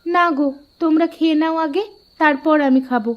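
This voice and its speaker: Woman playing a high, tart young housewife. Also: moderate pace, lo-fi sound, lightly acted. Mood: polite, tart. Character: tart young housewife daughter-in-law, sweetly teasing. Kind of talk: Bengali cartoon dub acting.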